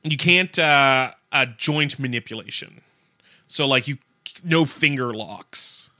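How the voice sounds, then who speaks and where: A man with a bright, forward voice at an ordinary male pitch, recorded dry and close. He talks like a radio co-host in loose conversation, explaining things bluntly and colloquially. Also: slow, no echo. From Canada. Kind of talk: casual chat, informally explaining.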